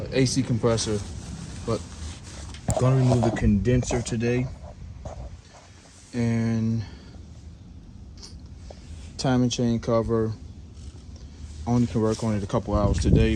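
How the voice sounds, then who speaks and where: A man with a fairly low voice walks through a car repair in a loose, chatty way, often turning to his viewers as if they stand right beside him. He sounds sniffly, his nose running from the cold outdoors. Some faint noise hangs behind him. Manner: relaxed, chatty, talks straight to viewers as "guys". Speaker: DIY mechanic and channel host. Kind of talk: casual hands-on repair vlog.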